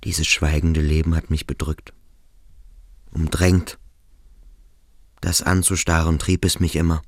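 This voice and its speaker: An actor playing an introspective adolescent boarding school pupil in a radio play, halting and searching with quiet intensity. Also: male voice, extremely low pitch, level tone, dry recording, studio quality. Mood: brooding, searching. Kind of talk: German radio play monologue.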